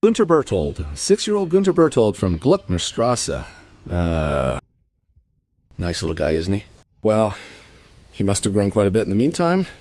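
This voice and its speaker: A man's voice: lowish voice, huge pitch swings, normal speed, darkish tone, no room sound. Chatty archive guy in a radio drama, pleased with himself, voice goes up and down a lot, dry close sound. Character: chatty, self-satisfied male newspaper archivist, pleased detective. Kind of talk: radio play acting.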